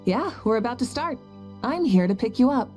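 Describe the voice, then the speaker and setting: Actress doing a cool easygoing biker heroine for a game, lively highish voice, music behind. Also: female voice, normal speed, natural voice. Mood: brisk, reassuring. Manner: relaxed, reassuring, teasing. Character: confident, easygoing young motorbike-riding heroine. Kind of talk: video game character voice acting.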